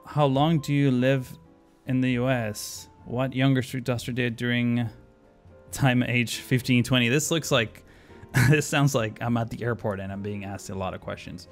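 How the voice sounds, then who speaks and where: Gravelly man streaming a city build. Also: medium pitch, steady tone, normal speed, faint music behind. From Argentina. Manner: thinks aloud with many fillers, reads chat questions and answers them. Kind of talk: live stream commentary while playing.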